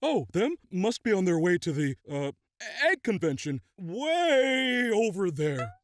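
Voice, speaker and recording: A woman's voice: mid-range voice, takes it slowly, slight background noise. A playful, swooping cartoon girl. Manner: playful, excitable. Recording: loud music underneath.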